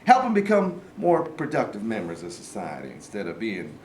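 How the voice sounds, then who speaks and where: A man in a staged play reading, playing a gruff, scheming Southern man of authority with a folksy, commanding manner. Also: on the low side, wildly swooping pitch, moderate pace, darkish tone. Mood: firm, scheming. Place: live staged reading in a room. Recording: roomy, distant mic, faint noise.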